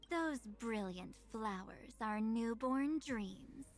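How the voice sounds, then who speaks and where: An actress voicing an enigmatic, gentle young woman from a video game, speaking in a measured, poetic and faintly teasing way. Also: fairly high pitch, lively intonation, soft background music. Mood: solemn, wistful. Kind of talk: video game character voicelines.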